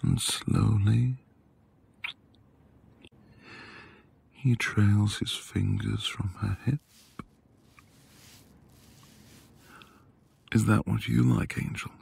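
A man performs a quiet, devoted husband in a romantic audio roleplay. His voice is low and very gravelly, with breath close to a whisper. He talks slowly and intimately, teasing and flirting with tender affection. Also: little pitch movement, full character voice. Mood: seductive. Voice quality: breathy, husky, often dropping to a whisper.

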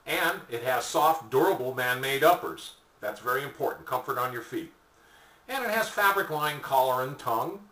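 Bright-voiced older man pitching products, echoey. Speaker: product presenter for an online shop. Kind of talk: informal sales pitch to camera. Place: small indoor room at home or office, seated. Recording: echoey room, distant mic.

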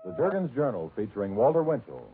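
A man's voice: medium pitch, sing-song, fast talker, breathy edge. An American man as a 1940s radio announcer, reading scripted sponsor copy with a polished salesmanlike delivery. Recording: muffled, band-limited 1940s radio broadcast.

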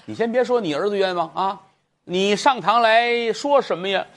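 A man's voice: high voice, normal speed, pitched above the performer's own voice. A man voicing a clueless magistrate from old times, flustered and impatient in a comic way. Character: bumbling, clueless old-time county magistrate.

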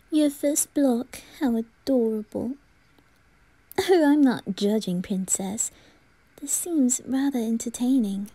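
A woman voicing a teasing, tender young male aristocrat in an ASMR roleplay, with breathy asides and soft giggles. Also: a little high, extremely slow, long pauses, full character voice, low-quality recording. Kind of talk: ASMR roleplay addressed to the listener.

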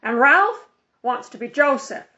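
A woman voicing a bold, pushy young girl in a storybook, blunt and loud, on a slightly dull recording. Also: high voice, lively intonation, a little slow, pitched above the performer's own voice, full character voice. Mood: bold, demanding.

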